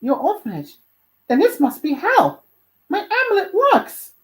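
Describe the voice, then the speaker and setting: A woman voicing an excitable young boy in a dramatic, exclaiming story character voice. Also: very high voice, moderate pace, voice pushed up. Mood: surprised, excited. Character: excitable young boy, nephew of a demon prince.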